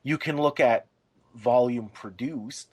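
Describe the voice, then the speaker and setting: A man with a medium-pitched voice speaks as a podcast co-host. His delivery is conversational and a little hesitant, built from short phrases. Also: takes it slowly, a little mellow, breathy edge.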